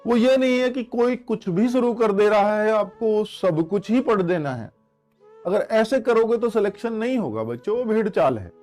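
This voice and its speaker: A man's voice: high voice, lively intonation, normal speed, a little mellow, faint music behind. A man speaking as an exam coaching teacher, explaining and advising students, repeating key phrases and answering his own questions. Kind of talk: motivational exam advice to students.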